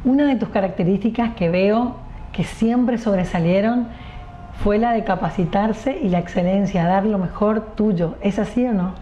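A woman's voice: medium pitch, moderate pace, warm tone, dull audio, slight background noise. Effusive woman TV host. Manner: effusive, emphatic praise. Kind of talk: TV interview. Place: studio living-room set.

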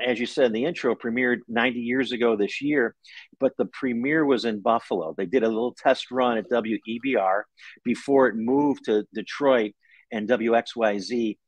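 A man's voice: mid-range voice, dry recording, rough recording. A man in his fifties with an American accent, telling stories conversationally as a guest author in an interview. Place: living room at home, indoors. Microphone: video call.

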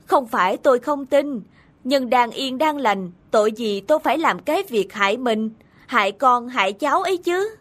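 A woman voicing a humble, contented old male farmer in a cartoon folktale, measured and moralizing. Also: sits high, expressive, a bit bright. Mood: calm.

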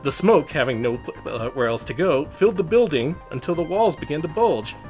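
A man's voice: on the high side, expressive, brisk, darkish tone, faint music behind. A man narrating a children's storybook expressively and theatrically. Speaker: storybook reader on a livestream show.

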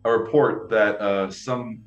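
A young man hosts a video-call interview, eager and rambling, tripping over his restarts. His voice sits a bit low and bounces around an echoey room, with faint music under it. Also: steady tone, slow, dull audio. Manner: enthusiastic, rambling, many restarts. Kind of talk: casual interview chat. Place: small room, indoors. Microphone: video call, earbuds.

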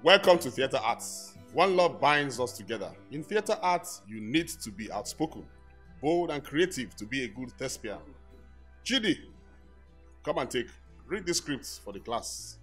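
A man with a Nigerian accent playing a stern, exacting theatre lecturer with a loud, declamatory manner in a radio drama. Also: medium pitch, very animated, measured pace, soft background music. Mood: lecturing, exasperated. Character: stern, exacting male theatre lecturer, mocking shy students.

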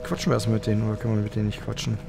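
A man's voice: fairly low pitch, completely flat delivery, racing, vocal fry. A man commentating casually on a game he plays, thinking aloud and a little hesitant. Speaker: let's player. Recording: game music behind the voice. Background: video game music and effects.

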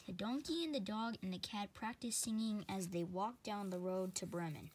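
Female storybook reader, average pitch, slightly halting delivery for children. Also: darkish tone, slight background noise. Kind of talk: reading a children's storybook aloud.

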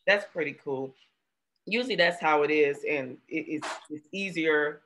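A woman in her thirties hosting a casual interview over a video call, chatty and quick to laugh. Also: low-pitched, fairly bright tone, a little hiss or hum. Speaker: host. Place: room at home. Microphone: webcam on video call.

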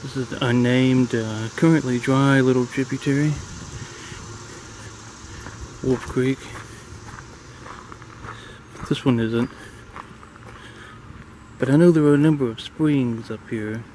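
A middle-aged man casually narrating a hike on a wooded lakeside trail in a relaxed, admiring way, recorded on a handheld camera. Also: mid-range voice, normal speed, rough recording. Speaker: hiking vlogger. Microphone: handheld camera mic, speaker off camera. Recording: faint outdoor noise.

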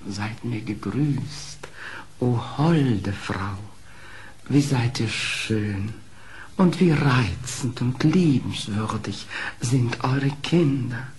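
An actor playing a sly, smooth-talking fox who tells his adventures in a theatrical, courtly radio play manner. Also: medium pitch, natural voice. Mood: flattering. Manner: theatrical, courtly, flattering storytelling. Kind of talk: German radio play acting. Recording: old audio play with faint noise.

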